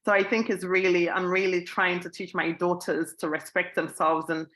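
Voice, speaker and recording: Woman from Sierra Leone, panel speaker, bright and forceful tone, lowish voice, earnest. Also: flat delivery, moderate pace. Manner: earnest, repeats herself, rising emphasis. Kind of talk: panel discussion answer.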